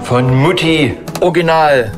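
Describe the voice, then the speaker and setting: A man's voice: fairly high pitch, unhurried. A man talking in documentary-style dialogue from a film trailer. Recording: film trailer clip with music. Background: trailer music.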